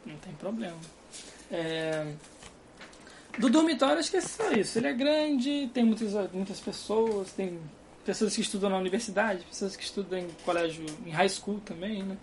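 A man's voice: sits high, lively intonation, moderate pace, a little hiss or hum. A young man from Brazil with a Carioca accent, chatting casually and rambling, laughing often, talking from a dorm room. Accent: Carioca Brazilian Portuguese. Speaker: guest. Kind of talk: casual chat.

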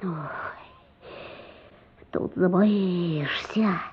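A woman playing a superstitious street boy in a radio play, telling scary tales in a hushed, drawn-out way. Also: fairly low pitch, breathy edge, exaggerated voice. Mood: spooky, fearful. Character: spooky-storytelling street boy, wide-eyed and superstitious. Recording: muffled radio play recording.

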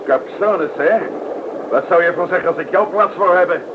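An actor playing a rude, curt thug with a colloquial way of talking in a radio play. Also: male voice, sits high, brisk, lightly acted. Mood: rude, irritated. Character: gruff, rude male thug hunting through a train. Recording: muffled, noisy old radio recording.

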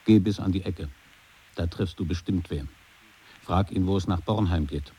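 An actor in an experimental radio play, speaking in short, calm, husky lines. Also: male voice, sits low, moderate pace, a little hiss or hum, close to an everyday voice. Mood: measured.

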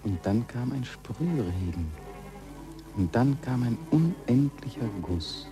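Slow, brooding storyteller. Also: male voice, medium pitch, very animated. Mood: pensive. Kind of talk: 1940s German radio drama acting. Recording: old radio recording with music behind.

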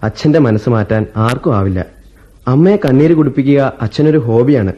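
Radio play: young actor as a troubled, earnest student, warm voice, dull broadcast sound with faint music. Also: male voice, medium pitch, expressive, a little fast, natural voice. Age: young adult. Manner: earnest, melancholy, reflective. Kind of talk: 1980s Indian radio play acting.

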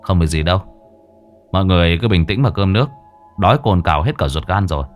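A calm young hero, voiced by a man, talks briskly and steadily, his voice rising and falling with life. Faint music plays beneath him. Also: deep voice. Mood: reassuring.

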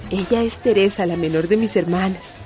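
An actress playing a warm, plucky community woman with a Costa Rican accent, telling her life story chattily in a radio drama. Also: medium pitch, a little fast, faint music behind, lightly acted. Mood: warm, proud. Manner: chatty, folksy, emphatic.

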